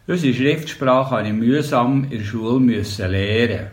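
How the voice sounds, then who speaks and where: An older man from the Bernese Oberland in Switzerland, speaking with a Bernese German accent, calmly reading his memoirs and dialect tales as a storyteller. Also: mid-range voice, normal speed.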